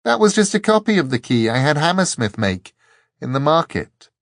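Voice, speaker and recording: A man's voice: mid-range voice, very animated, normal speed, fairly bright tone, dry recording, clean studio sound. A man voicing a defiant, triumphant young woman in an audiobook, speaking emphatically.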